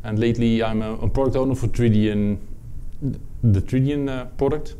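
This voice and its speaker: A man from the Netherlands with a Dutch accent, presenting a technical product talk at a conference, halting, with many fillers and restarts. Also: mid-range voice, fairly even intonation, mellow, slight background noise. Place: conference room with audience.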